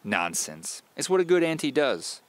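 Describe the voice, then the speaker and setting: A man's voice: a little high, sing-song, moderate pace, pitched above the performer's own voice. A man voicing a cheerful, chatty, nosy woman of about seventy in an audio drama, with a folksy, fussing manner. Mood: fretful.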